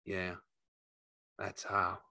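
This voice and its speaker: Casting: male reader as burdened, tender working man in an audiobook; folksy, low register, dry studio sound. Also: animated, measured pace, sharp tone, no room sound. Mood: numb, tired. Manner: plain, folksy, reassuring. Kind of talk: audiobook character acting.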